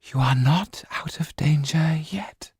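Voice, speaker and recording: A spectral lady played by a man from an audiobook tale, gentle and eerie. The voice is lifted high and very breathy, and moves slowly in a hush. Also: dry recording, clean studio sound, heavily acted. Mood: hushed warning. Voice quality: breathy, whispery. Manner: slow, hushed, drawn-out delivery. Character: ghost of a beautiful murdered woman, gentle and eerie.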